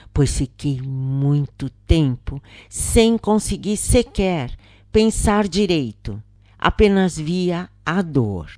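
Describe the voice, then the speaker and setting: A Brazilian woman narrates a first-person account in a low voice. Her delivery is solemn and deliberate, with slow pacing and dramatic swings in pitch. She phrases each thought expressively, as in an audiobook. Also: darkish tone, dry recording, studio quality. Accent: Brazilian Portuguese.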